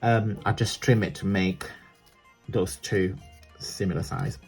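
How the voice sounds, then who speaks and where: A man's voice: low voice, steady tone, unhurried, slightly rough, faint music behind. A man with an Italian accent, chatting casually while showing a crafting tutorial, rambling and correcting himself as he goes. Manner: chatty, rambling, self-correcting, laughs often. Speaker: craft vlogger.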